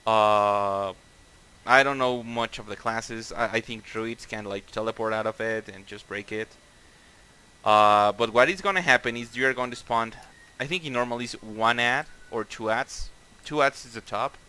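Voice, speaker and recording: A man's voice: medium pitch, normal speed, pushed and bright, clear, resonant tone, poor audio. A man with a Mexican accent leading a game raid over voice chat, explaining boss tactics casually with swearing and lots of fillers. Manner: casual, profane, many fillers and restarts. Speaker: raid leader.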